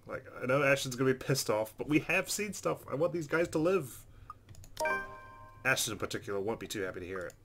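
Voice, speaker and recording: A man's voice: on the low side, a bit bright, slight background noise. A man hosting a game playthrough, reading visual novel narration aloud. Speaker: let's play host.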